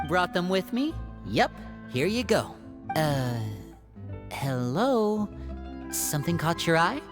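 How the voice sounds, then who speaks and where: A genial young man speaks with lively, varied intonation over faint music. He sounds polite and poised, an older brother gently keeping order in a video game. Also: sits high, takes it slowly, exaggerated voice. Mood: amused, calm. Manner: polite, smooth, gently chiding.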